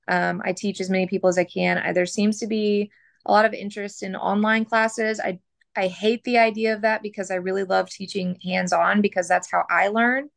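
Hoarse woman guest, a butcher, gravelly mid-range voice, casual and chatty, dry close sound. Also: medium pitch, steady tone, fairly quick, no room sound. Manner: conversational, many fillers and asides. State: hoarse, losing her voice. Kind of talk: casual interview chat.

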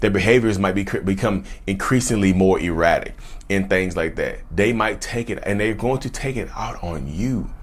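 A man's voice: low voice, normal speed. A man talks casually and straight to his viewers, in a colloquial, emphatic way, repeating phrases for stress. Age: late 30s. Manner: colloquial, folksy address to listeners. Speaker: vlogger speaking about his own narcissism. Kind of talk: casual direct-to-viewer talk.

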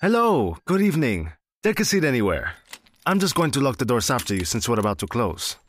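An actor playing a café worker who is polite to customers but cautious with questions. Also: male voice, mid-range voice, very animated, brisk, a little hiss or hum, natural voice. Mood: wary. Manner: courteous, then cautious. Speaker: café staff. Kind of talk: audio drama acting.

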